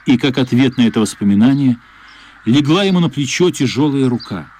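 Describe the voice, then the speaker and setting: A man's voice: mid-range voice, expressive, fairly quick, darkish tone. A man narrating a literary story for a radio play, as a scripted narrator. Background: faint music.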